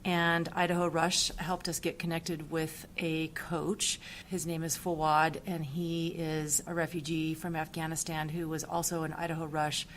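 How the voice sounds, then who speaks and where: A woman's voice: sits low, little pitch movement, unhurried, hardly any pauses, a little hiss or hum. A middle-aged woman who works at a school, speaking informally in an interview as a soccer team organizer.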